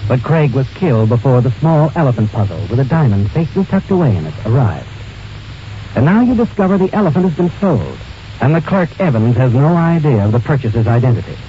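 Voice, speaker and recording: An actor playing a knowing, taunting narrator who tells a suspense story in 1940s radio style. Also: male voice, mid-range voice, sing-song, fairly quick, lightly acted. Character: dramatic, knowing male narrator addressing the schemer. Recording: dull vintage radio recording with music.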